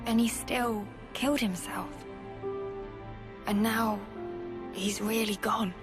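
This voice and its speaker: A woman playing a composed, gentle young heroine in a video game. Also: mid-range voice, steady tone, slow pace, lightly acted. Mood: composed, somber. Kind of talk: dramatic video game voice acting. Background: music.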